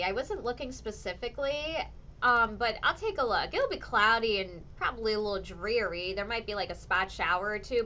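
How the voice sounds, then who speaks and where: A woman meteorologist with a slightly hoarse voice, chatting casually with viewers on her weather show. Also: on the high side, a little hiss or hum. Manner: animated, ranting, chatty with viewers. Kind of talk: casual livestream chat answering viewers.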